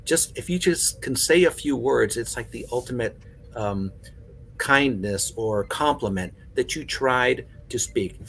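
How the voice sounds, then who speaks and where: A man in casual podcast conversation, telling stories in an animated way with plenty of conversational fillers. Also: medium pitch, unhurried, gravelly, slight background noise, poor audio. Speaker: podcast panelist. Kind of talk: casual chat.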